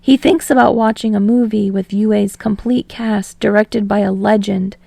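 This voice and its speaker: A woman's voice: medium pitch, steady tone, normal speed, gravelly, mellow, dry recording. A woman reading fiction aloud as an audiobook narrator in a scripted storytelling style.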